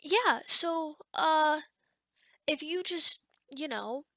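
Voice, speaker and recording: An awkward young female sleep demon, acted by a woman, with a high, bright voice in a very dry, close recording. She rambles apologetically in a hesitant, chatty way, slipping toward whispers as in an ASMR roleplay. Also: expressive, drawn-out words, no room sound, exaggerated voice. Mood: hesitant, reassuring.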